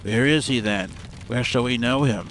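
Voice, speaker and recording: A man's voice: mid-range voice, normal speed, a bit bright, slight background noise, pitched below the performer's own voice, lightly acted. A man voicing a suspicious, commanding criminal lodge chairman with a blunt, questioning manner in a dramatised audiobook. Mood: defiant, blunt.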